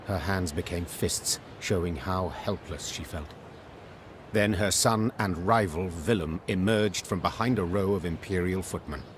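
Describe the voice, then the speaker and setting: A man narrating a fantasy video game like a storybook, composed, wry and measured in a literary way. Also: low-pitched, background noise.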